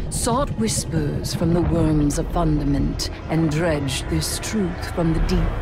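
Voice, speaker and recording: A vengeful witch turned dark god from a video game. She intones her words slowly and grandly, like an incantation, with solemn menace. Loud music swells underneath. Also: deep voice. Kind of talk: theatrical game voice acting.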